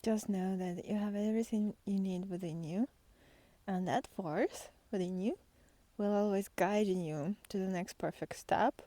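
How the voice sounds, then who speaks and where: A woman giving an intimate, spontaneous spiritual talk as a guide, halting and tender, sometimes softening toward a whisper. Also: mid-range voice, no echo. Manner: tender, halting, with long pauses, sighs and soft laughs. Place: outdoors in nature, walking by foggy fields.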